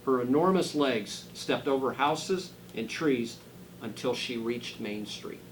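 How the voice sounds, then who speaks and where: A man reading a children's storybook aloud dramatically, dropping into quieter asides to his listeners. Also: medium pitch, expressive, slow, background noise. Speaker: school superintendent reading a picture book aloud.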